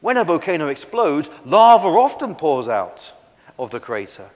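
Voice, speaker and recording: A man's voice: very animated, normal speed. A man voicing a massive, kindly storybook diplodocus who speaks in a helpful, reassuring way. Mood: kindly, explaining. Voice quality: deep. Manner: reassuring, explanatory. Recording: long echoey hall reverb.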